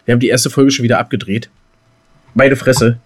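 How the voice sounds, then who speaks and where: A man's voice: mid-range voice, steady tone, a little fast. A man co-hosting a podcast in casual, colloquial banter, silly and given to mock grumbling. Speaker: podcast co-host.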